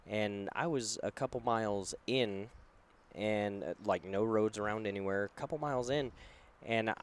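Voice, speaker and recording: Smooth-voiced man hosting a podcast. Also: lowish voice, normal speed. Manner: loose, rambling with many fillers. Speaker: podcast host. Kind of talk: casual interview. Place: outdoors at a trade show.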